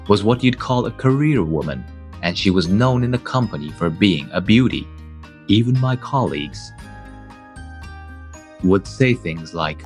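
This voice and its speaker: A man playing a devoted, conflicted guardian who narrates his own story in a manga dub. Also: on the low side.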